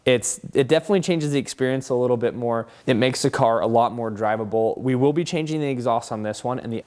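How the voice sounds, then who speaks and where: A man's voice: on the low side, darkish tone. A man with an upbeat, enthusiastic way of talking, hosting a car channel and giving a casual product review to camera.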